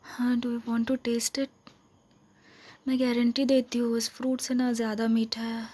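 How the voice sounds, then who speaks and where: A woman acts out a possessive, scheming vampire girlfriend in a romantic ASMR role-play. Her Indian-accented voice is breathy and soft, often dropping into a whisper. She speaks slowly and keeps the melody very even, tenderly coaxing and lingering on repeated phrases. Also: on the high side. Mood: sweet, coaxing. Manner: intimate, coaxing, lingering repetitions.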